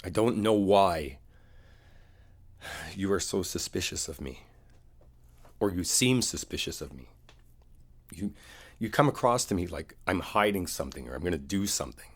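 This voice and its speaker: A man playing a frustrated, wounded boyfriend in a one-sided audio roleplay, talking in a naturalistic, halting way with a husky voice. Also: sits low, animated. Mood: hurt, frustrated. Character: frustrated, wounded boyfriend arguing with his partner.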